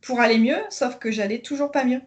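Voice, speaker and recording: Woman in her 30s, from northern France, fairly high voice, hesitant, filler-heavy, video call audio. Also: fairly even intonation, a little fast. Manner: hesitant, many fillers and restarts. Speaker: coaching client giving a testimonial. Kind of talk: conversational interview.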